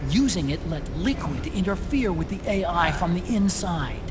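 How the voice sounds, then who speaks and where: A man's voice: sits high, expressive, normal speed, over music. A man playing an earnest, brainy technical expert explaining things in a video game cutscene. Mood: intent explaining. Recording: noisy background ambience.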